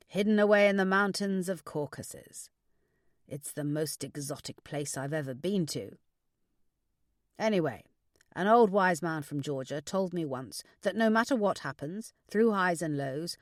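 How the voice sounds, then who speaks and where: A woman voicing a kindly older father who gives measured, reassuring, philosophical advice in an audiobook. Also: lowish voice, no room sound, studio quality, natural voice. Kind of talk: audiobook character dialogue.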